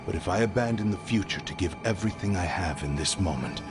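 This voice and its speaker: A man's voice: low-pitched, animated, fast talker. A man voicing an ancient, proud sword spirit in a video game, grave, formal and weary as his power fades. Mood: resigned resolve. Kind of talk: video game dramatic dialogue. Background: prominent music.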